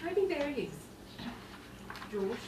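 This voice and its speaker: Actress playing a slow, solemn sister. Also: female voice, sits high, very animated, dark tone, full character voice. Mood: grave, sorrowful. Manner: measured, grave, pausing theatrical delivery. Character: solemn, burdened sister bearing tragic news. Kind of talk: 1950s-style romantic drama acting. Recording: roomy, distant, clearly noisy stage sound.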